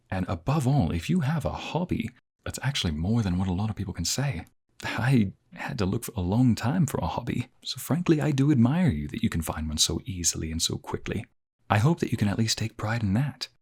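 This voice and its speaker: A man voicing a calm, polite therapist in a listener-directed roleplay, speaking in a measured, reassuring way. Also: on the low side, husky. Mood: encouraging. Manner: soft-spoken, measured, reassuring. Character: calm polite male therapist, gently honest. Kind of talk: ASMR roleplay narration addressed to the listener.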